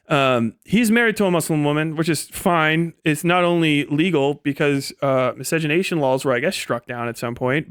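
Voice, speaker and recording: Male streamer, slightly high smooth voice, sweary and slangy, off-the-cuff commentary with a rambling, incredulous delivery. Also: dry recording, studio quality. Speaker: live streamer and political commentator. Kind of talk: casual unscripted livestream commentary.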